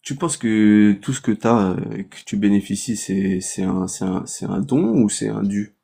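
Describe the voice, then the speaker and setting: A man on the line in a live debate, hesitant and halting, stumbling over his words. His voice is low and dark with a husky edge. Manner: hesitant, halting, many fillers. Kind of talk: hesitant argumentative chat.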